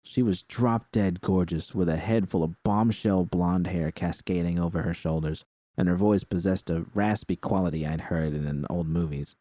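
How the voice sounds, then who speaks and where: A man's voice: deep voice, steady tone, normal speed, dark tone, no echo. A man reading a first-person story as a scripted narration. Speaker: audiobook-style narrator of fan fiction.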